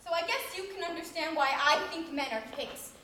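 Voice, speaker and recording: A woman's voice: very high voice, a little fast, bright, forceful tone, slight background noise. A woman performing a comic monologue as a flustered young woman who rambles, stumbles over her words and laughs at herself. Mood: flustered rambling. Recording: very echoey room.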